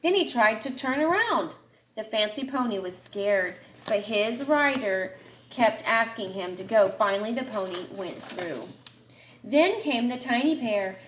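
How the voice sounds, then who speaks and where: A woman with a fairly high voice reads a children's picture book aloud. Her delivery is expressive, as if for a young listener. The room echoes around her and there is some background noise. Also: unhurried. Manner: expressive, puts feeling into the dialogue. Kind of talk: read-aloud storytelling to a child.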